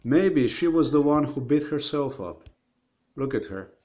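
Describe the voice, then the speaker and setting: A man's voice: fairly high pitch, animated, measured pace, mellow, muffled recording. Rambling man talking to his webcam. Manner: meandering, repeats himself, addresses viewers directly. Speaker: vlogger. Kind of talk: rambling screen-share commentary.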